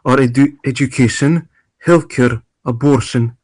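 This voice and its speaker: Male voice, middle pitch, acted debate moderator, polite, formal, scripted amateur play reading. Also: unhurried, a little mellow, close to an everyday voice. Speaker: actor in an amateur table read. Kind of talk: formal introductions read from script.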